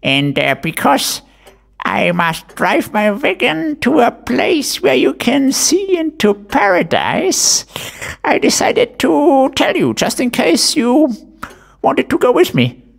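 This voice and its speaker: A man voicing Death as a sly male wagon driver with a high, creaky, strained voice and a coaxing manner. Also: wildly swooping pitch, slow pace, full character voice. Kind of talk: storytelling voice.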